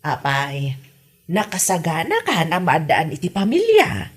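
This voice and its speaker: A woman playing a fretful, fond mother of a grown son in an Ilocano comedy drama, with an expressive, coaxing delivery. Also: fairly low pitch, unhurried, slightly rough, exaggerated voice. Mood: concerned, urging.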